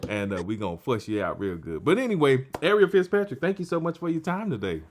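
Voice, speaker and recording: A man's voice: medium pitch, sing-song, fast, noisy background. A man hosting an interview in a casual, playful style, joking with the audience and urging them like a preacher. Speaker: interview host.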